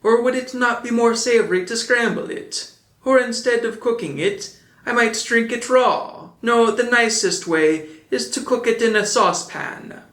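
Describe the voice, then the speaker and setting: A man voicing a hungry, fretful wooden puppet boy in a storybook reading, high and whining. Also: unhurried, a bit bright. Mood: eager. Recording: echoey room.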